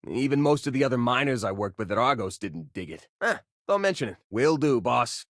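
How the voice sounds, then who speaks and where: Young space miner, video game crewmate, casual, joking, eager, dry close sound. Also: male voice, medium pitch, very animated, fairly quick, no room sound. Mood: upbeat, joking. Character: upbeat, wisecracking young space miner, rock-collecting homebody crewmate. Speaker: game companion character.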